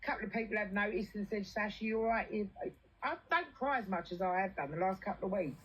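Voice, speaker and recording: A woman's voice: medium pitch, fast talker, slightly rough, dull audio. A British woman chatting casually and venting in a blunt, sweary, colloquial way. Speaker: livestream host.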